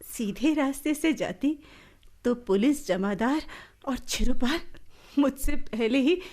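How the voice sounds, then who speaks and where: A woman's voice: high-pitched, takes it slowly, darkish tone. A woman playing a bold, sly young village woman in a radio drama, teasing and coy. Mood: sly, confiding. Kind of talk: Hindi radio drama acting.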